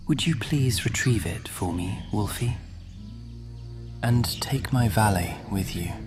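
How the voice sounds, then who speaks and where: Casting: male actor for ancient supernatural nobleman, very low and unhurried, breathy half-whisper, cultured and condescending, ASMR fantasy drama, music underneath. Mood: commanding. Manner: measured, condescending, persuasive, often dropping into whisper. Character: scheming, cultured, centuries-old male supernatural lord. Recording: music behind the voice.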